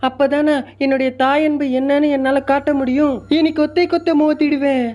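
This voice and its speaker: A man's voice: very high-pitched, flat delivery, fairly quick, a little mellow, voice pushed up. A man voicing a determined, protective mother eagle in a cartoon story, earnest and resolute. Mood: anxious, resolute.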